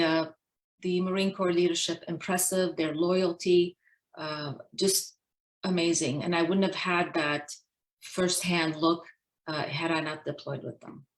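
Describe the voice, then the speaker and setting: Middle-aged woman, slightly low voice, measured delivery. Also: rough recording. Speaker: guest speaker, Navy dentist. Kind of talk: prepared personal talk.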